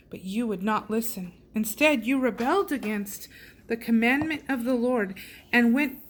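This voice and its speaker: A woman reading scripture aloud in a measured way, sometimes stumbling over hard names. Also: a little high. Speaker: Bible reader. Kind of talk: slow, careful scripture reading.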